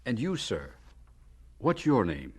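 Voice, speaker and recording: An actor playing a polite, curious narrator who puts probing questions deliberately in a radio drama. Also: male voice, medium pitch, huge pitch swings, slightly rough, full character voice. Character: polite, curious male narrator interviewing an old man. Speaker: narrator and interviewer.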